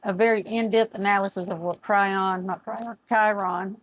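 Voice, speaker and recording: A woman's voice: medium pitch, unhurried, breathy edge, dull audio. Chatty woman reading tarot. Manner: chatty, reads aloud from a card book, loses words. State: losing her voice. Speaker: tarot reader. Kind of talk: casual tarot reading to camera. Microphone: camera mic, speaking to camera.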